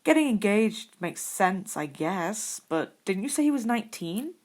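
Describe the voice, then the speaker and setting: A woman's voice: mid-range voice, sing-song, measured pace, a little mellow, pitched above the performer's own voice. A woman voicing an unflappable, teasing schoolboy with a thoughtful, wry way of talking.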